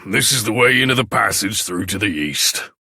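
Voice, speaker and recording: An actor voicing a gruff, grudging dwarf in a fantasy video game, rough and curt in manner. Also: male voice, fairly low pitch, expressive, moderate pace, sharp tone, no echo, studio quality, heavily acted. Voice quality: gravelly, rough. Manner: curt, surly, colloquial. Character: gruff, grudging male dwarf who distrusts humans. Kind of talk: video game character acting.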